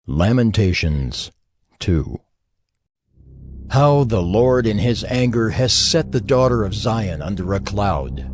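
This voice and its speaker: A man's voice: fairly low pitch, lively intonation, takes it slowly, dry recording. A man narrating scripture in a solemn, dramatic manner over music.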